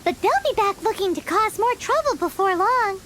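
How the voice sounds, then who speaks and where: A woman's voice: lively intonation, normal speed, slight background noise. A woman voicing a tiny, bossy and cheeky fairy companion who speaks of herself in the third person. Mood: wary. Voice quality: high squeaky voice, talks of herself in third person. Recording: game audio with loud music behind.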